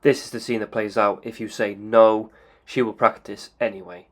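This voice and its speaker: A man hosting a video game channel, giving calm game analysis commentary with occasional "uh" fillers. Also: on the low side, normal speed. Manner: calm explanatory delivery with "uh" fillers.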